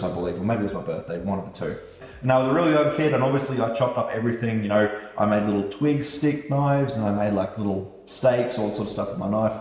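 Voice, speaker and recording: A low-voiced man, German his first language, talks to his viewers as a knife maker in a relaxed, chatty way, cursing now and then. He sits away from the mic, so the sound is distant and bounces around a hard, echoey room with a long tail. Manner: laid-back, chatty, swears casually. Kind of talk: casual vlog talk to viewers. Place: room with a light set up.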